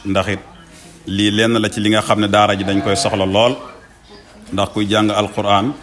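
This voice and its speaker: A man speaking for a Quranic school, giving formal thanks in a slow, measured way. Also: fairly low pitch, flat delivery, a bit bright, soft background music.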